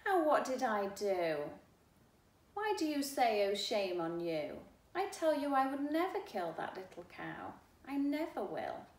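A woman's voice: fairly high pitch, sing-song, a little slow. A woman voicing an indignant, fearful fox in a children's storybook, pleading and emphatic. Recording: roomy, distant mic, faint hiss.

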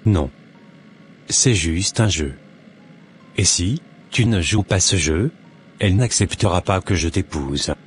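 Deep-voiced young evangelist played by a man. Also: expressive, takes it slowly, pauses often, soft background music. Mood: coaching. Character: young marriage-minded evangelist man, polite and scheming.

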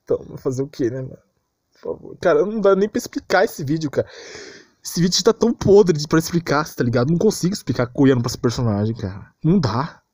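A man's voice: fairly high pitch, animated, fast, darkish tone, no echo, clean studio sound. A man with a Brazilian Portuguese accent giving an informal, exasperated rant as an anime commentator, repeating himself and using lots of slang.

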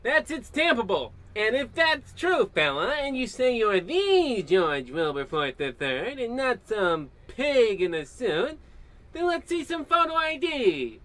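A man's voice: extremely high pitch, sing-song, a little slow, a bit bright, exaggerated voice. A man acting a self-important, dim-witted policeman with an officious manner in an old-time radio comedy. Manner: officious, redundant.

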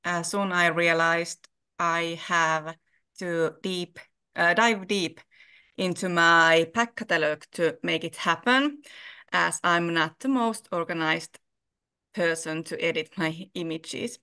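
A woman from Finland with a Finnish accent, reading her prepared words aloud slowly and carefully over a video call. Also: on the low side, bright, forceful tone, smooth. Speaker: exhibiting photographer.

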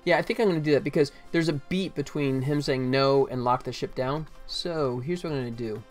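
A man's voice: mid-range voice, a little fast. A man in his forties, a game developer, casually narrating his work on a livestream, often muttering to himself. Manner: muttering to himself in short bits. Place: small indoor room at a computer. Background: faint music, keyboard typing.

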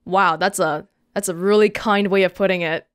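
A woman's voice: mid-range voice, fairly even intonation, moderate pace, clean tone. A woman giving casual commentary as the host of a video game let's play.

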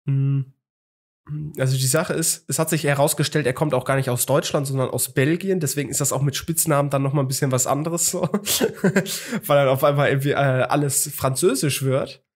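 A man's voice: medium pitch, normal speed. A young man in his twenties co-hosting a casual podcast chat, telling a story with many fillers and restarts, hungover. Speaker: podcast co-host.

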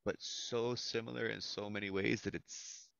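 A man's voice: lowish voice, fairly even intonation, moderate pace, vocal fry, a bit bright, clean tone, no echo. An American man in his thirties hosting an open mic over a video call, chatting casually and effusively with plenty of slang and swearing. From Florida, United States. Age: 30s. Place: indoors at a computer, video call. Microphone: headset. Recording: dull, lo-fi video call audio.

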